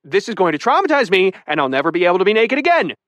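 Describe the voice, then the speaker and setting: A man's voice: animated, normal speed, no room sound. A man voicing a panicked young woman who shrieks and scolds frantically. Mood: frantic scolding. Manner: shrill, frantic, yelling.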